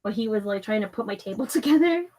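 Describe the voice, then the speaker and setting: A young woman playing a tabletop game, chatting casually and playfully in a giggly, animated manner with little acted asides. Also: mid-range voice, fast.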